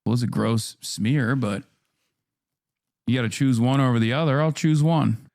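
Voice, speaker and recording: A man commenting casually, pausing and restarting as he talks. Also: mid-range voice, vocal fry, a little mellow, no echo, clean studio sound. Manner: halting, hesitant phrasing.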